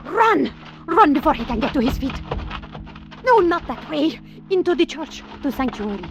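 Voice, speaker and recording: Bold seductive adventuress in vintage radio drama. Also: female voice, sits high, normal speed, noisy background. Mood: urgent. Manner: theatrical, formal, flattering phrasing. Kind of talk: old-time radio adventure acting. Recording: old radio recording with music behind.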